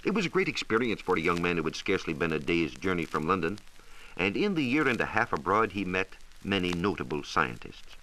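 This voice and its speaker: A low-pitched American man speaks in a bright, forceful voice with lively swings of intonation. He delivers scripted educational narration in the manner of a 1940s radio science reporter, phrasing each point emphatically. The recording is an old, hissy broadcast transcription. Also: fairly quick, rough recording.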